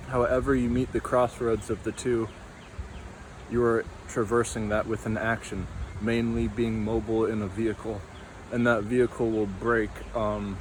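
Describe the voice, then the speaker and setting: A man's voice: lowish voice, darkish tone, a little hiss or hum, poor audio. A young man rambling through a casual, tangential monologue full of fillers, recorded outdoors. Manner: meandering, tangential, many fillers. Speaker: vlogger.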